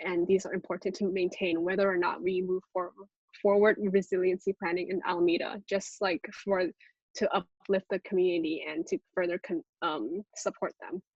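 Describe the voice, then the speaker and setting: A young woman intern presents over a dull, lo-fi video call. Her voice sits at a medium pitch with little room sound. She speaks Cantonese at home and feels her way through phrases with some hesitation. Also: darkish tone, no echo. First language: Cantonese at home, speaks several dialects. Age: young adult.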